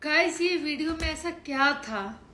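A hoarse woman from Haryana ranting crudely and combatively on a late-night live stream from home, swearing heavily into her phone. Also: high-pitched, measured pace, bright, forceful tone. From Haryana, India.

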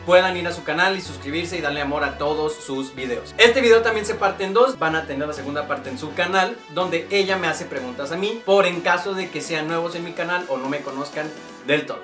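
A man's voice: on the high side, a little fast. Chatty young man hosting, roomy room. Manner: lively, chatty, asks questions. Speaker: YouTuber host, her editor and manager. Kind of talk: casual vlog interview. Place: small room at a home, plain backdrop. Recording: roomy echo with music behind.

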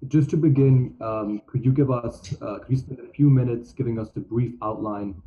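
A man's voice: medium pitch, steady tone, normal speed, dark tone. A young man interviewing a guest over a video call, polite and dotted with fillers. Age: young adult. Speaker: student interviewer. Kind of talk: interview questions. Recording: dull video-call audio.

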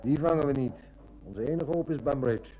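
An actor playing a shrewd, determined police inspector in a radio drama, matter-of-fact and commanding. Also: male voice, mid-range voice, a little fast, warm tone, faint music behind, close to an everyday voice. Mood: brooding. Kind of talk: Dutch radio drama acting. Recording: noisy old radio recording with hum.

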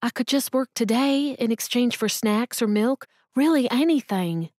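Tearful desperate mother, read by a woman; pleading, high and strained, breaking voice, dry close sound. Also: moderate pace, a little mellow, dry recording, natural voice. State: crying.

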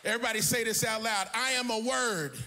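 A middle-aged American man preaching an animated, emphatic sermon as a pastor, theatrical, into a handheld microphone in a large church auditorium. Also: very high voice, a little fast, a little hiss or hum. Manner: emphatic, theatrical, often shouting and half-singing.

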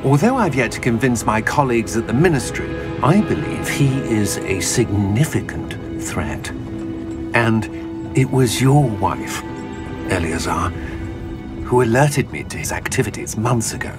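A man playing an earnest middle-aged wizard official in a video game, speaking in a formal, gentlemanly way. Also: on the low side, sing-song, measured pace. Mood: grave, earnest. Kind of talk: cinematic game dialogue acting. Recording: music under the dialogue.

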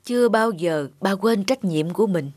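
A woman voicing a gentle, repentant older father in an animated drama, pleading softly and earnestly. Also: medium pitch, normal speed, background noise, lightly acted.

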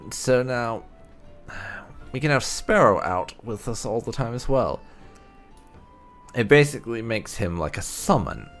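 A man doing roleplay playthrough commentary in a theatrical, drawn-out way. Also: mid-range voice, expressive, soft background music. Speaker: gaming video host. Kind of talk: roleplay playthrough commentary, reading out character replies.

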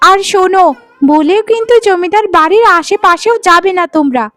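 Woman playing a very high-pitched, haughty cartoon sister-in-law. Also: a little fast, a bit bright, dry recording. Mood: bossy, scolding. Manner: bossy, scolding. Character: haughty, scheming sister-in-law of a landlord family. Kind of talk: cartoon storytelling dialogue.

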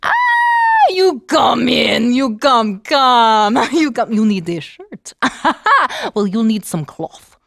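Woman putting on a cackling old witch, loud, bossy, greedy, swooping pitch, dry close sound. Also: big pitch swings, takes it slowly, gravelly, no room sound, full character voice. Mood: gleeful.

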